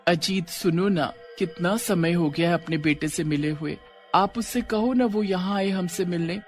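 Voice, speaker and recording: Man playing tender village mother, high-pitched. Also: normal speed, faint music behind, natural voice. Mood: pleading. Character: affectionate worried middle-aged village mother.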